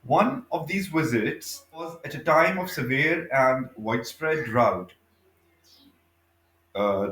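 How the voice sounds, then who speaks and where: Echoey, halting man reading aloud. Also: mid-range voice, a bit bright, slight background noise. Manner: halting reading with stumbles and self-corrections. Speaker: audiobook reader. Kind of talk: scripted narration, reading a biography aloud. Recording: echoey, reverberant room.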